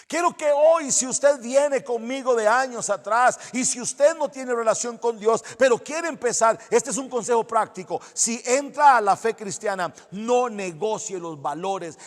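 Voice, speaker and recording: A man's voice: high voice, long reverb tail. A male pastor preaching a sermon in earnest, exhorting tones, repeating phrases for emphasis.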